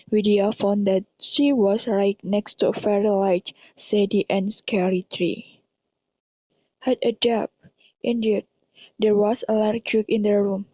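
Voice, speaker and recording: Young indonesian woman narrating a spooky radio drama, mellow even voice, close dry sound. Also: mid-range voice, no echo, low-quality recording. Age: young adult. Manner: reading aloud in non-native English.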